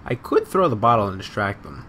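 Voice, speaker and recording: Male let's-player, lowish voice, casual self-muttering with plenty of swearing, faint game noise behind. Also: huge pitch swings, fairly quick, fairly bright tone. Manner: muttering to himself, sweary, repetitive. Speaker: gamer recording a let's play. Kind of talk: casual gameplay commentary.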